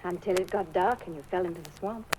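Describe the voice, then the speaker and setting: A motherly forest queen reproaches with calm authority, played by a woman. Her voice sits mid-range over faint noise. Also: lowish voice, quick pace, natural voice. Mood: reproachful. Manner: reproachful, commanding.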